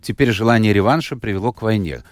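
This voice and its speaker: A man's voice: fairly low pitch, quick pace, no room sound. A man hosting a studio talk show. He chats with his guest and reads viewer questions aloud. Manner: conversational, reads listener messages aloud.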